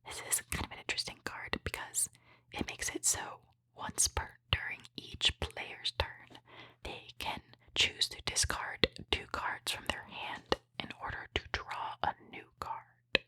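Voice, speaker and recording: A woman whispers softly and without voicing, in a bright, breathy tone that sits close and dry on the microphone. Her delivery is gentle and appreciative, in the style of an ASMR host talking through trading cards. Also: measured pace, no room sound. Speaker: host opening trading card packs. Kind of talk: whispered ASMR card commentary.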